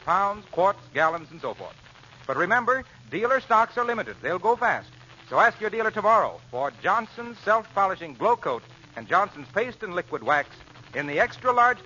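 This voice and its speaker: A man announcing a polished sales pitch in the manner of 1940s radio advertising. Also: pushed and bright. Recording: muffled, faintly noisy vintage radio recording.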